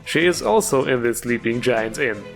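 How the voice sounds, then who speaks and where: A man's voice: mid-range voice, lively intonation, moderate pace, vocal fry. A man narrating a jokey, scripted gaming review as host, playful and tongue-in-cheek. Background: music.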